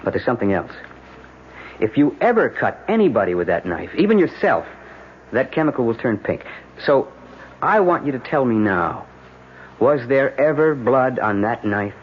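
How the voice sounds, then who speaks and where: Male actor as blunt American defense lawyer, pressing and urgent, widely swinging pitch, dull noisy old radio sound. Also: very animated, normal speed, close to an everyday voice. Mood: urgent, stern. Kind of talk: old-time radio drama acting.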